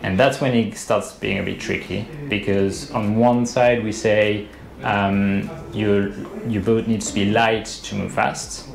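A man's voice: fairly low pitch, creaky. A man in his thirties, a boat designer, explaining things in an interview over a video call, hesitant with many fillers and restarts. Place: indoor room, video call. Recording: roomy webcam audio with faint hiss.

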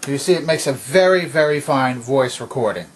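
A man presenting a hands-on demonstration in a methodical, explanatory way, with a slightly husky voice. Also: mid-range voice, lively intonation, normal speed, background noise. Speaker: presenter demonstrating vintage tape recorder. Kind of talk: instructional demonstration narration. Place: indoor home workbench.

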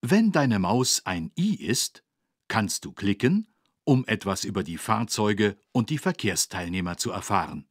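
A man with a low, husky voice narrates for young children. His delivery is lively and encouraging, carefully phrased, and recorded in a dry studio. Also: unhurried, no room sound. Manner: clearly phrased, encouraging, animated. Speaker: narrator of a children's learning game.

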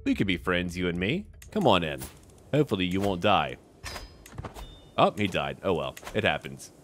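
A man's voice: sits low, very animated, moderate pace, a bit bright, slight background noise. A man giving casual, offhand commentary as he plays a game, often addressing the characters aloud. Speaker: gaming video host.

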